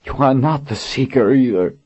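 A man's voice: mid-range voice, moderate pace, a little mellow, slightly rough, voice pushed up, natural voice. A man voicing a grieving young queen who speaks in an anguished, pleading way. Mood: defiant. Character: grieving young queen, desperate then resolute. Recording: dull lo-fi audiobook recording.

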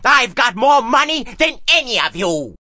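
A man's voice: very high-pitched, very animated, unhurried, pushed and bright, no echo, studio quality, voice pushed up, full character voice. Man acting out a petulant rich man, wildly swooping. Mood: screaming fury. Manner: whiny, aggrieved, swearing outbursts. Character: petulant rich man, whining and raging like a child. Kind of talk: audiobook character acting.

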